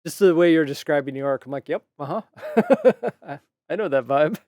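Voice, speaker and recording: A man hosting a casual interview, relaxed and encouraging, with short affirmations. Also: fairly high pitch, normal speed, no echo. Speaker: interview host.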